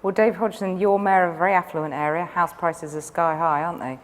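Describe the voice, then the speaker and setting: A woman chairing a panel debate, putting brisk, prodding questions to the speakers. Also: on the low side, steady tone. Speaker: debate moderator. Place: conference hall. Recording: reverberant hall.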